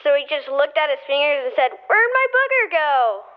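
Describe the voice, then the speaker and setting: Mischievous young kid for radio play played by a female, high voice, muffled voicemail recording. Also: big pitch swings, moderate pace. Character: giggly young kid sharing gross-out stories. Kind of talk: scripted radio play acting. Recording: dull lo-fi voicemail.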